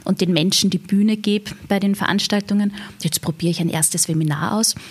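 A woman's voice: medium pitch, fairly even intonation, a little fast, breathy edge. A woman, an interview guest who runs an event agency, speaking in an earnest, reflective way with frequent fillers. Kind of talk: interview conversation.

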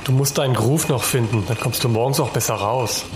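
A father giving advice played by a man in a homemade radio play. His voice is mid-pitched, in a roomy recording with clear background noise. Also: a little fast, natural voice. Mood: advising. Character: father advising his daughter at breakfast. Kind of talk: amateur children's radio play.

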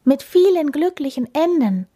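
A woman voicing a gentle, well-behaved male hedgehog in a children's story, with an anxious, plaintive manner. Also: fairly high pitch, measured pace, voice pushed up. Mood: happy.

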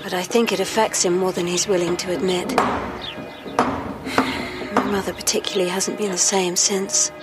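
Actress as a composed young woman over loud music. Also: lowish voice, level tone, normal speed, long pauses, lightly acted. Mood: subdued, composed. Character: composed, soft-spoken young woman, a professor's daughter. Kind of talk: full-cast audio drama acting.

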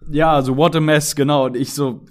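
A man's voice: fairly high pitch, fairly even intonation, moderate pace. Wry, joking man in casual chat. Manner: joking, reads items aloud with wry asides.

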